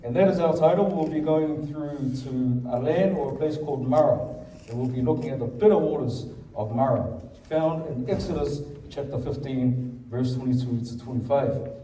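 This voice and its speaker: A man's voice: medium pitch, normal speed, warm tone. A man with a Samoan accent preaching a sermon in church, switching between Samoan and English and calling the congregation to answer amen. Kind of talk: bilingual sermon. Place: church hall. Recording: echoey church from a distance.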